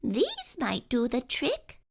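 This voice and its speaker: A woman voicing a kind, sweet old lady with a gentle, drawn-out delivery for a storybook. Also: high voice, wildly swooping pitch, gravelly, breathy edge, pitched above the performer's own voice, exaggerated voice. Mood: kindly concern.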